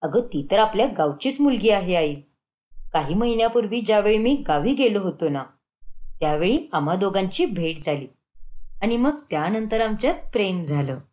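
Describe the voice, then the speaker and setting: A woman plays a lovestruck young son in a cartoon moral tale. He sounds earnest and pleading, pitched low and explaining himself with lively intonation. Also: moderate pace, close to an everyday voice. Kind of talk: animated moral-story cartoon dialogue.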